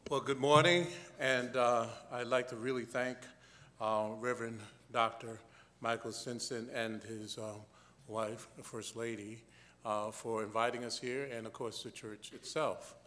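A man, a university president, giving a brief halting address to a church congregation. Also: mid-range voice, fairly even intonation, pushed and bright, a little hiss or hum. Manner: halting, with many "uh" fillers. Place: large church sanctuary. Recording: reverberant church hall.

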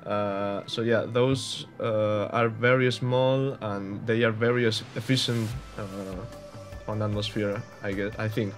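Young spanish guy talking over his space game, lowish voice, lots of ums, faint music behind. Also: steady tone, takes it slowly, hardly any pauses. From Spain. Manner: hesitant, many fillers and restarts, unsure hedging. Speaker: gaming YouTuber. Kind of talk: casual gameplay commentary.

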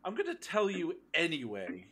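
An actor playing a cold, menacing captor in an audio drama, taunting and deliberate in a theatrical way. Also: male voice, on the high side, wildly swooping pitch, a bit bright, husky, heavily acted. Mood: gloating. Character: menacing cold male captor interrogating a prisoner. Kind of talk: fan audio drama acting.

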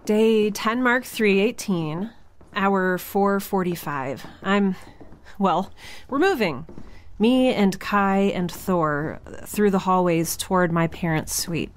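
A woman's voice: mid-range voice, takes it slowly, natural voice. A woman playing a lonely, wistful young space traveller in an intimate audio diary drama, hesitant and introspective, often dropping toward a whisper. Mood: tense, apprehensive. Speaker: podcast audio drama lead.

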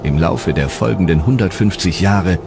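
A man's voice: deep voice, normal speed, vocal fry. A man reading scripted, dramatic narration for a history documentary. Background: music.

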